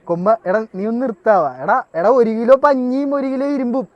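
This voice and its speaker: High-pitched, exasperated Malayali man on a call. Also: normal speed, no room sound, lo-fi sound. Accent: Kerala Malayalam. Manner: exasperated, teasing, repeatedly questioning. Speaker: prank-style phone caller posing a riddle. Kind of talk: casual phone banter.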